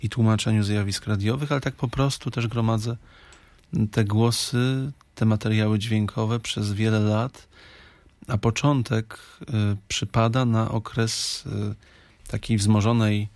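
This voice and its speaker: A man speaking as a radio interview guest in a studio, reflective and halting in delivery. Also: on the low side, steady tone, a little mellow, no room sound.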